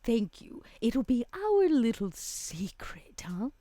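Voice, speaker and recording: A woman's voice: fairly high pitch, sing-song, warm tone, no echo, voice pushed up. A woman voicing a poised female vampire in a tabletop game, coy and elaborately polite, with silky menace. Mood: coy, seductive. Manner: slow, drawn-out, overly polite.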